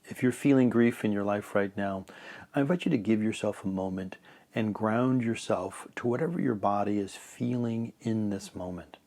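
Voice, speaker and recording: A man leading a guided meditation in a calm, measured way, letting phrase ends trail off softly. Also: on the low side.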